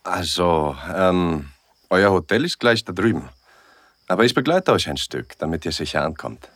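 A man's voice: deep voice, very animated, normal speed, a bit bright, no room sound, clean studio sound, full character voice. A man playing a helpful young stranger in an audio drama, friendly and slightly hesitant.

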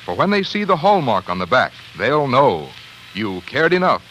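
A man's voice: mid-range voice, very animated, normal speed, a bit bright. A man reading a sponsor message as a 1940s radio announcer, in a polished, persuasive, emphatic delivery. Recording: slightly noisy 1940s radio recording.

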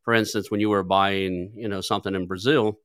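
A man's voice: fairly low pitch, fairly even intonation, a little fast, fairly bright tone, no room sound, studio quality. A man hosting a podcast, talking in a loose conversational way with frequent restarts. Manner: conversational, stumbles and restarts, uses fillers like "like". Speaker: podcast host. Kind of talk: podcast interview.

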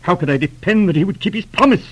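An actor playing a proud, anguished aristocrat in an old radio drama, grave and halting in a theatrical way. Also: male voice, high-pitched, expressive. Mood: anguished, resolute. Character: proud, anguished lord torn between wife and country. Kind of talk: 1940s radio drama acting.

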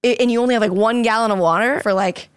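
A woman's voice: a little high, quick pace, clear, resonant tone, no echo, studio quality. A young woman chatting casually on a podcast, rambling with lots of "like" and frequent laughter. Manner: rambling, heavy use of "like", laughs often. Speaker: podcast host, dancer. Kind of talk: casual chat.

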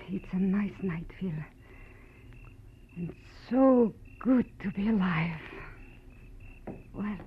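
A woman playing a wistful, hesitant detective's client in 1940s radio drama style. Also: medium pitch. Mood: wistful, relieved. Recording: noisy, muffled vintage radio broadcast.

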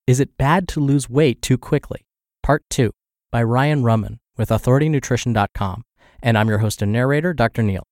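A man's voice: medium pitch, normal speed, darkish tone, no room sound, clean studio sound. Congested male podcast narrator. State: congested and scratchy from the flu. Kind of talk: reading a health blog aloud.

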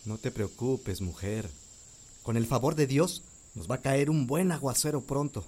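An actor playing a young farmer and husband who speaks calmly and reassuringly. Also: male voice, mid-range voice, a little slow, close to an everyday voice. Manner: calm, reassuring. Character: young male farmer Gabriel, hopeful husband. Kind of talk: radio drama acting.

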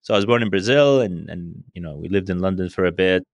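A man's voice: low voice, quick pace, vocal fry, dry recording, lo-fi sound. A man from Syria whose native language is Arabic, answering interview questions conversationally and repeating words as he thinks. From Syria, raised partly in Spain. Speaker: interview guest.

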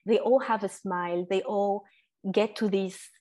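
A woman, talking as a guest in a casual interview, halting with many restarts and fillers and laughing often. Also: medium pitch, fairly even intonation, mellow. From France. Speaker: guest, food entrepreneur.

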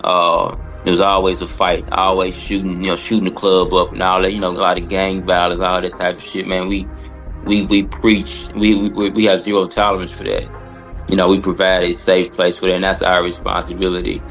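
Male comedian as podcast guest: very low gravelly voice, halting and reflective, faint music behind. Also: completely flat delivery, fairly bright tone. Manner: halting, often repeats words. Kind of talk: casual, reflective interview answer.

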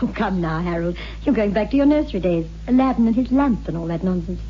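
An actress plays a breezy, sceptical wife in a vintage radio play. She chatters briskly in an airy, dismissive manner, on a dull old recording with hum. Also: mid-range voice, lively intonation, natural voice. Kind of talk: 1960s radio drama acting.

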